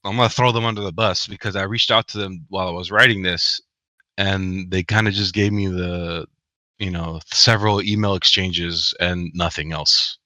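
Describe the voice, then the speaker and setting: Low-voiced man, bright and forceful. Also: normal speed, no echo. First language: Spanish. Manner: earnest, animated, frequent "right?" tags. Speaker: guest author. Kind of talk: podcast interview.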